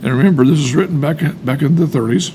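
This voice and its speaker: An older man giving casual, earnest explanations with anecdotes, often pausing mid-sentence. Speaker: speaker on alcoholism recovery, retired medical worker. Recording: clear background noise with low rumble.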